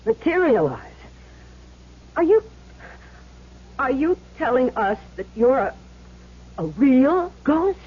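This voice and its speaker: An actress playing a scheming, nervous fake spirit medium in a 1970s radio drama, theatrical and hesitant. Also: female voice, high voice, sing-song, slow pace, long pauses, breathy edge, exaggerated voice. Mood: flustered. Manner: theatrical, hesitant, gushing to clients. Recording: muffled, noisy old radio broadcast.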